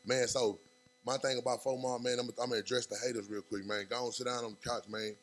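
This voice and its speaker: A young man, a rapper talking as a guest on a podcast in fast, animated street talk full of fillers. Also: medium pitch, faint music behind. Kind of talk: casual podcast interview.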